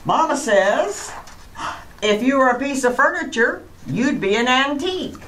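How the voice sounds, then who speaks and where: A woman's voice: high voice, lively intonation, measured pace, fairly bright tone, slight background noise. A woman playing a chatty, guileless comic sidekick who sets up jokes innocently, in old-time radio comedy style.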